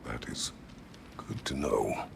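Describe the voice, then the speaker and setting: A man playing a stern, terse older warrior god in a cinematic video game, with a grave, gravelly delivery. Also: very deep voice, takes it slowly, slight background noise, exaggerated voice. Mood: grim, stern. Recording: music behind the voice.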